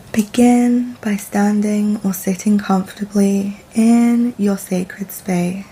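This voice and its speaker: A woman leading a guided meditation in a soft, soothing, deliberate manner with long pauses between phrases. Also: medium pitch, a little hiss or hum, rough recording.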